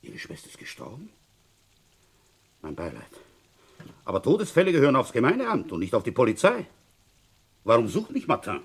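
An actor playing a world-weary middle-aged village police commissioner in a radio crime drama, with a dry, grumbling, sardonic manner. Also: male voice, mid-range voice, huge pitch swings, moderate pace, long pauses, husky, exaggerated voice. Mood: gruff, businesslike. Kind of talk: German radio crime drama, first-person narration and dialogue.

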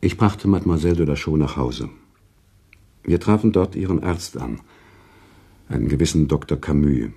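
A man playing an earnest, moralising gentleman in a German radio play, with a husky, dark voice and formal, precise speech. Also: extremely low pitch, lively intonation, moderate pace, full character voice. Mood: earnest, solemn. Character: earnest, indignant male narrator-friend, a moralising gentleman.

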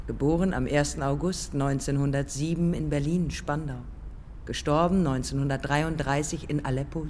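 An actress playing a proud, defiant dead pioneer aviator in a German radio drama, speaking in measured, matter-of-fact phrases. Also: female voice, sits low, a little mellow, in a large hall, a little hiss or hum. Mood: solemn, measured. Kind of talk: German public radio drama, lyrical dialogue.

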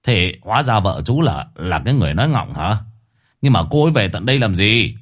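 A man voicing a well-meaning older village chief who speaks haltingly, repeating his words. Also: lowish voice, very animated. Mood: puzzled. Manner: halting, stammering repetitions.

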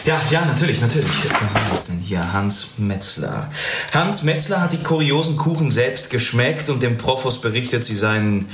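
An actor playing a pedantic researcher in a radio drama, polite but fussy, with a deliberate manner. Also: male voice, medium pitch, lively intonation, a bit bright, lightly acted. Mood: fussy, absorbed. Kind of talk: radio drama acting, reading old documents aloud. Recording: roomy echo, faint noise.

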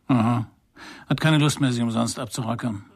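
An actor playing a wry, hard-boiled private detective in a radio crime play, with a dry, laconic delivery. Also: male voice, medium pitch, fairly even intonation, normal speed, natural voice. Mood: teasing, offhand. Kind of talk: first-person crime radio play narration and dialogue.

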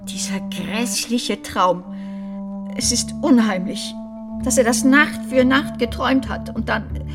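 An actress playing a reserved, uneasy wealthy widow with a measured, strained manner in a radio crime drama. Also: female voice, a little high. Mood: distraught. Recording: music behind the voice. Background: music.

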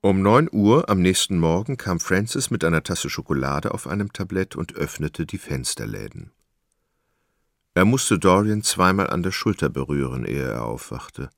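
Very deep, gravelly male narrator. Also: expressive, no room sound, studio quality. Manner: measured, storytelling. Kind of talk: literary scripted narration in a radio drama.

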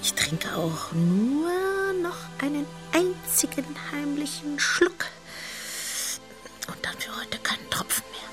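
An actress playing a tipsy, lovelorn middle-aged wife in a German radio play, speaking in a dreamy, drawn-out, often whispering way. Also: female voice, high voice, very animated, over music, exaggerated voice. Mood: dreamy, yearning.

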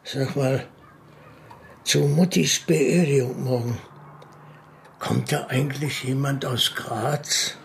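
Creaky, slow-talking older husband. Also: male voice, medium pitch, fairly even intonation, a little hiss or hum. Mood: casual. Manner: unhurried, placating. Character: placating, slightly grumbling older husband named Alfred. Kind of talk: naturalistic German radio drama.

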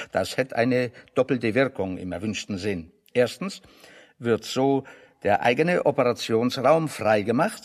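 A man acting in a German radio play as a pedantic, ironic intellectual, speaking deliberately and mock-seriously. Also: mid-range voice, big-room sound. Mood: solemnly ironic.

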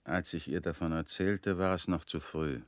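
An actor playing a bitter former convict in a radio play, talking in a measured, brooding way. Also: male voice, sits low, dry recording, close to an everyday voice.

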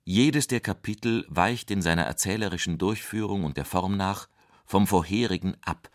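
A man reading literary quotations in a scripted, measured style. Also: low voice, dry recording, studio quality. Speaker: reader of quotations.

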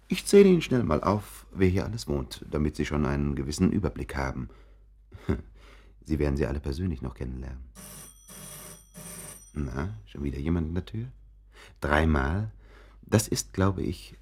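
Very deep, husky male narrator. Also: warm tone, full character voice. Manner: confidential, musing, self-interrupting asides. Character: chatty, confiding male narrator showing listeners around an apartment. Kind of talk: German radio play narration, conversational.

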